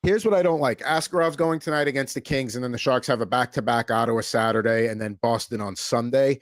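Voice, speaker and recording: A man's voice: medium pitch, fast, no room sound, studio quality. An American man hosting a hockey podcast in casual chat, rambling with lots of fillers. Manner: rambling, filler-heavy, easygoing. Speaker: podcast host.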